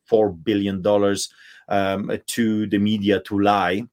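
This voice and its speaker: A man's voice: fairly low pitch, level tone, slow, darkish tone. A middle-aged man from Poland with a Polish accent, a pastor speaking emphatically as a guest over a video call, with many fillers and restarts. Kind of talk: impassioned interview talk.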